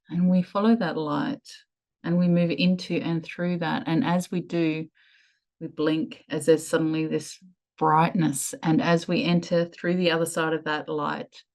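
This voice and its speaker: A woman leading a guided meditation in a soothing, measured way with lingering pauses. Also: deep voice.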